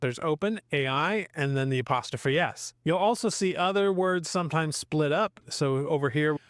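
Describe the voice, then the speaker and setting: Slightly high-voiced man giving a tutorial. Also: moderate pace, no room sound, clean studio sound. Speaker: presenter of an explainer video. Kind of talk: casual tutorial explanation.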